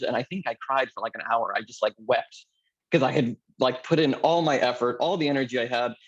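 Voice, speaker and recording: A man in his thirties from California, telling his own story at a mentoring workshop in a relaxed, chatty way with frequent ums and laughs. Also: mid-range voice, muffled recording. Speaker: anesthesiologist speaking at a mentoring workshop. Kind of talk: casual personal story.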